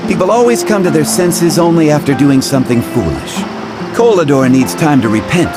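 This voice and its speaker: A man's voice: on the high side, expressive, normal speed, natural voice. An actor playing a pragmatic, wry male field operative in a video game, speaking in a dry, matter-of-fact way. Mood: dry, businesslike. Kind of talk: video game dialogue acting. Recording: loud music behind the voice. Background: loud game music.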